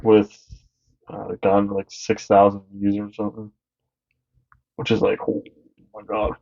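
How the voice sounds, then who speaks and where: A young man with a deep voice co-hosting a podcast in casual chat, rambling and halting with many fillers. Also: monotone, a little mellow, dull audio. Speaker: podcast co-host.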